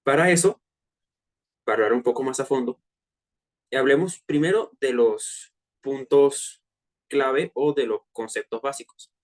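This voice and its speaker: A man in his twenties with a Venezuelan accent, presenting a personal finance workshop to peers in a relaxed, chatty way with frequent hesitations. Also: medium pitch, unhurried, pauses often. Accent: Venezuelan Spanish. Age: 20s. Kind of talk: casual online presentation to peers.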